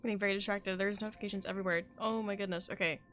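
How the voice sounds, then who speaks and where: A woman's voice: mid-range voice, steady tone, very fast, a bit bright, a little hiss or hum. Playful woman streaming a game. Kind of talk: casual live reaction commentary.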